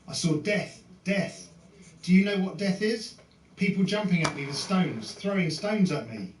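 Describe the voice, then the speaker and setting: Shaken male eyewitness, slightly high voice. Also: unhurried, a little hiss or hum. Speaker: eyewitness interviewee. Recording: distant news clip in a roomy space.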